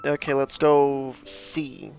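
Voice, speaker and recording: A man's voice: medium pitch, slow pace, gravelly, darkish tone. A man giving casual gameplay commentary as he plays, recorded on a dull, lo-fi voice track. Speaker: game player commentating. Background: game music.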